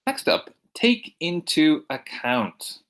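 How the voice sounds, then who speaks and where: A man's voice: fairly high pitch, sing-song, fairly bright tone. Man teaching vocabulary, American-sounding accent. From Ireland. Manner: repeats words slowly and clearly for learners. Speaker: English teacher. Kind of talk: recorded vocabulary lesson.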